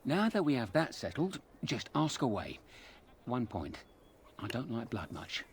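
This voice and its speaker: An actor playing a chatty, sly ex-burglar turned fence in a video game, talking in colloquial patter. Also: male voice, mid-range voice, wildly swooping pitch, measured pace, a little hiss or hum. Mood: wistful, chatty. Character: cheeky roguish male thieves' fence, ex-burglar with a conscience. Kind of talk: video game character dialogue.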